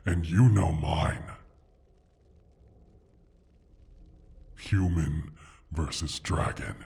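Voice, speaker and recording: A mighty wounded dragon with a low, very raspy, breathy voice. He is prideful and disdainful, speaking in slow, halting, theatrical phrases with open menace, as in a fantasy audio roleplay. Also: darkish tone, heavily acted. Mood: scornful. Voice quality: husky, breathy rasp. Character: proud, injured male dragon, menacing and haughty.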